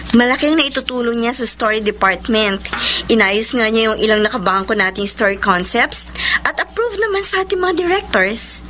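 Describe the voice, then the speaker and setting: A woman's voice: fairly high pitch, lively intonation, normal speed, few pauses, sharp tone, a little hiss or hum, low-quality recording. A woman with a Filipino accent playing a chatty young niece, deferential to her aunt, in a radio drama. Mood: curious, concerned.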